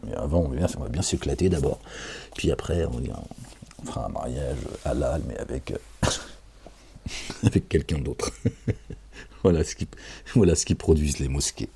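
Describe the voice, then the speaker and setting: A man's voice: a bass voice, normal speed, long pauses, warm tone, slight background noise. A tired man reading scripture aloud and commenting on it in a casual, digressive way, talking straight to his listeners with chuckles. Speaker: self-styled preacher reading and commenting on scripture.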